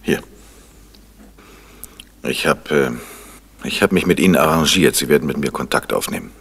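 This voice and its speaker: An actor playing a composed, worried middle-aged gentleman sleuth in a crime radio play, speaking with controlled insistence. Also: extremely low pitch, lively intonation, moderate pace, vocal fry, husky, heavily acted. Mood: worried, insistent. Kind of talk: 1970s German crime radio play acting.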